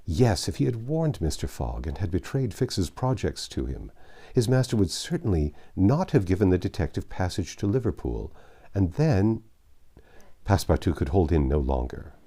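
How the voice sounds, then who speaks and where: A man narrating a classic novel in an expressive, measured style with dramatic pauses. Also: low voice, breathy edge. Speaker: audiobook narrator. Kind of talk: expressive literary storybook narration.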